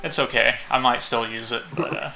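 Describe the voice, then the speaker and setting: A man co-hosting a podcast in casual, halting banter, often breaking into chuckles. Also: medium pitch, steady tone, vocal fry, pushed and bright. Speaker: podcast co-host. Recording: faint background noise.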